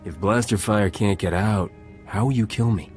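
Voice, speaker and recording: A man's voice: lowish voice, moderate pace, soft background music, natural voice. A man playing a flippant, flirtatious smuggler captain in a video game, cool and unflappable. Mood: wry. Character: cocky, charming male smuggler starship captain, unflappable. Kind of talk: video game cutscene acting.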